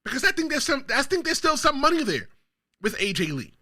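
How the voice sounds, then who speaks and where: A man from the Bronx hosts his own wrestling show, speaking directly to his viewers. His voice is high and piercingly bright, and it swings widely in pitch. He pushes out his hot takes with real emphasis. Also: big pitch swings, brisk. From the Bronx, New York. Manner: talks straight to viewers. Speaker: show host. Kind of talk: solo wrestling commentary show.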